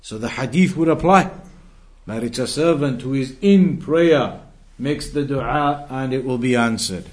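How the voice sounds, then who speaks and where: A man's voice: medium pitch, unhurried, a little mellow. A man giving an explanatory religious lesson as a teacher, didactic, restating key phrases for emphasis and moving between quotations and explanation. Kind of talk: explanatory Islamic lesson, translating quoted Arabic into English.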